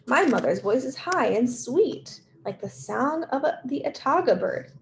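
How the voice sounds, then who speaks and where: A woman's voice: sits high, animated, measured pace, creaky, a little hiss or hum, pitched above the performer's own voice, exaggerated voice. A woman voicing a cautious, clever little girl in a storybook read-aloud, speaking firmly and warily. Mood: firm refusal.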